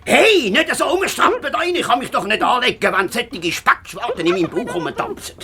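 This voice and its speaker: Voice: medium pitch, big pitch swings, moderate pace, pushed and bright, breathy edge, exaggerated voice. An actor playing a greedy, gloating big bad wolf in a children's radio play, grumbling to himself. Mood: annoyed, scolding.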